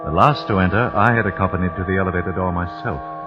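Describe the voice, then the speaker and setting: An actor voicing a grave father telling the story in a measured, solemn way. His voice is fairly low, heard on a dull old radio recording with music clearly beneath it. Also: close to an everyday voice. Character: grave middle-aged father narrating. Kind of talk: 1940s radio drama narration.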